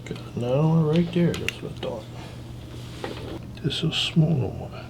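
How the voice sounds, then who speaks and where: A man's voice: fairly high pitch, lively intonation, moderate pace, creaky. A man working as a chiropractor, talking a patient through an exam with short questions and explanations. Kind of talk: clinical consultation and hands-on examination talk. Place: indoor chiropractic clinic. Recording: roomy, noisy clinic, distant mic.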